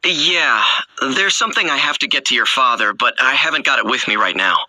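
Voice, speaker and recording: A man's voice: mid-range voice, lively intonation, a little fast, bright, forceful tone, dry recording, poor audio. An actor playing an earnest, easygoing young man in a video game, speaking casually and a little hesitantly. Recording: music behind the voice.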